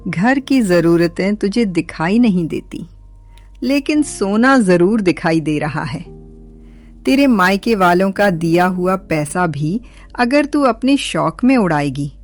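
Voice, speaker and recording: Woman acting a slow-talking, bossy older mother-in-law. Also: lowish voice, animated, soft background music. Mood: scolding, sarcastic. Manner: scolding, demanding, sarcastic. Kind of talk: Hindi cartoon moral story acting.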